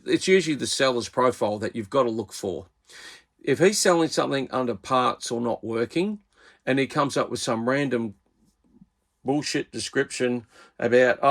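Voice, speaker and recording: Gravelly Australian man, blunt and exasperated. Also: medium pitch. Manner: blunt, exasperated, plain-spoken. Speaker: radio hobbyist channel host. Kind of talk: casual workbench vlog.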